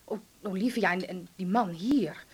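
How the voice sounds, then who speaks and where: A woman's voice: medium pitch, measured pace, husky. An actress in a radio drama playing a timid, fussing mother of a grown son, fretful and coaxing. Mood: alarmed.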